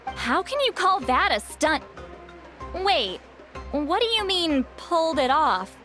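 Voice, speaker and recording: An actress playing an excitable young female onlooker in a video game. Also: very high voice, slow, full character voice. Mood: startled. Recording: loud background music.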